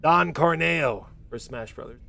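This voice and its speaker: A man's voice: on the high side, measured pace, vocal fry, sharp tone. Laid-back man rambling on a livestream. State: voice getting worn. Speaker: streamer reacting to a game presentation. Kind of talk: casual livestream chat.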